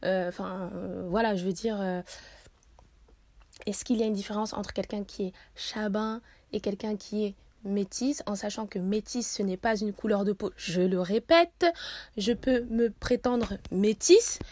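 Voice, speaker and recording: A woman's voice: mid-range voice, measured pace, a little mellow, no echo. A young woman hosting a podcast interview, asking long, earnest questions with frequent fillers. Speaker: podcast host.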